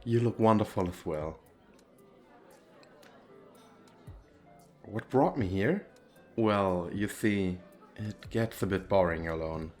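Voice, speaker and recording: A man's voice: fairly low pitch, expressive, measured pace, soft background music. A man playing a nervous, bashful, well-off young businessman on a date in an ASMR roleplay, with hesitant, stammering speech. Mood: polite, charming. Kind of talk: ASMR roleplay, one-sided conversation with the listener.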